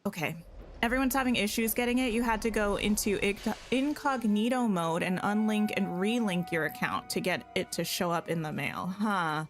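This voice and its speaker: A woman's voice: medium pitch, normal speed, faint music behind. A woman live-streaming a game, chatting casually and answering her viewers, her voice slightly scratchy. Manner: chatty, greets and answers viewers, thinks aloud. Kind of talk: casual live-stream chat while playing.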